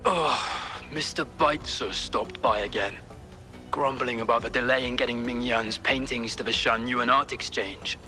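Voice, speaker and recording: Sharp, grumbling underling. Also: male voice, mid-range voice, unhurried, faint music behind. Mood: annoyed. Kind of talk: video game voice acting.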